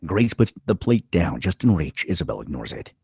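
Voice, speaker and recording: A man's voice: low voice, big pitch swings, moderate pace, darkish tone, slightly rough, dry recording, clean studio sound. A man narrating stage directions from a script in a dramatic storytelling style.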